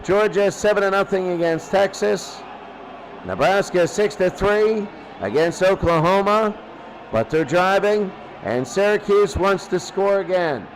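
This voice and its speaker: A man announces a game live in a high-pitched voice. He calls each play emphatically and doubles back on phrases for effect. Faint noise sits behind him. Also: lively intonation, a little slow, rough recording. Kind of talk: live sports play-by-play commentary.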